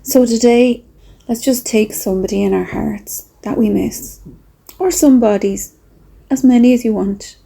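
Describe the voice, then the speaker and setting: A woman speaking softly and reflectively with pauses, in a breathy, husky voice, as she leads a gentle guided meditation. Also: a little high. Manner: slow, soft, reflective, with pauses.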